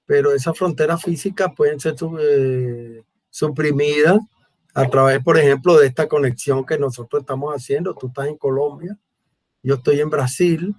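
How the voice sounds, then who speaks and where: Venezuelan man, deliberate and halting interviewer. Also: a little high, darkish tone, no echo. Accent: Venezuelan Spanish. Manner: halting, deliberate phrasing with repeated words. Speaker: host, mathematics educator. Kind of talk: conversational online interview. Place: remote online conversation from Brazil.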